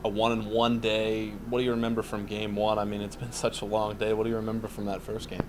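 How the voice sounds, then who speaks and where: A man's voice: lowish voice, monotone, quick pace, noisy background. A young man working as a sports network interviewer, asking a coach postgame questions in a composed, broadcaster-like way. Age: young adult. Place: indoor lounge with large windows. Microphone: handheld mic.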